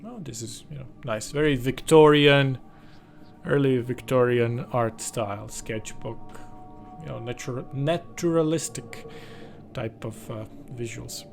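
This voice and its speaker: Male game channel host reading story text and adding commentary, medium pitch, music underneath. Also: a little slow, vocal fry.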